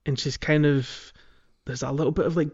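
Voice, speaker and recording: A man's voice: on the high side, steady tone, a little mellow, husky. Man chatting casually, slightly muffled audio. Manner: halting, peppered with "like" and "you know". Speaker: podcast co-host. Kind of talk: casual conversational game discussion.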